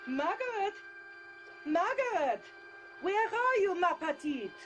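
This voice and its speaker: An actress playing an ageing, superstitious French governess with a French accent and a husky voice, anxious and foreboding in a radio drama. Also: female voice, very high-pitched, big pitch swings, slow pace, soft background music, heavily acted. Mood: calling anxiously.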